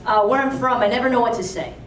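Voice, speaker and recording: A woman's voice: on the high side, steady tone, a little fast, recorded from a distance, slight background noise. Chatty woman in a roomy hall. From Alexandria, Virginia, USA. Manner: chatty and conversational, full of "like" and "you know". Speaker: storyteller. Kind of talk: live storytelling to an audience. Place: live storytelling event in a roomy venue. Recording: roomy live venue, voice from off-mic.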